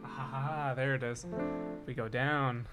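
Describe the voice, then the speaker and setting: A man's voice: medium pitch, steady tone, slow pace. A man chatting casually on a livestream as he composes game music, thinking aloud in playful murmured asides. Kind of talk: casual livestream chat while composing at a keyboard. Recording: loud piano playing over the voice. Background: piano keyboard being played.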